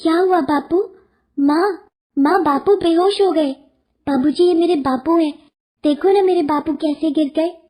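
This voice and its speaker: A woman plays an honest, devoted little girl in a cartoon fable. Her voice sits very high and she speaks with pleading, childlike earnestness. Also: a little slow, darkish tone. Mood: alarmed. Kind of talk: animated moral story dialogue.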